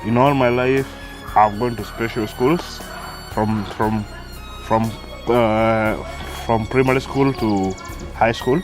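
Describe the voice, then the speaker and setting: A man's voice: medium pitch, fairly even intonation, takes it slowly, music in the background. A man in his thirties with a Kenyan accent, a guest telling his life story, who hesitates and repeats words as he starts. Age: 30s. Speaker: interview guest.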